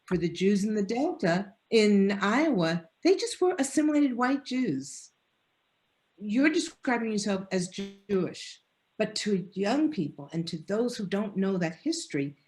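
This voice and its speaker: An earnest woman professor speaks over a video call at a middle pitch. She talks discursively, circling back and restarting her phrases as she builds her answer. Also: animated, unhurried, darkish tone. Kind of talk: lecture-style answer in an online panel.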